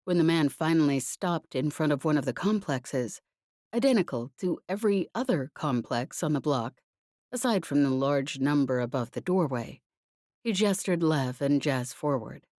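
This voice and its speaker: Low, mellow woman narrator. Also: expressive, moderate pace, no room sound, studio quality. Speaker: audiobook narrator. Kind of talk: scripted fiction narration.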